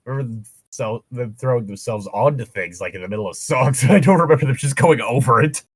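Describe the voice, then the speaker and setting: A man's voice: mid-range voice, fairly quick, husky, no echo. A man reading fan fiction aloud in a comedic way, often breaking off to make joking comments in a casual chat style.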